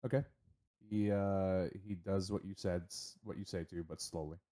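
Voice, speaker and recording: A man's voice: low-pitched, fairly even intonation, moderate pace, dark tone. A man running a tabletop game, narrating casually and informally as game master.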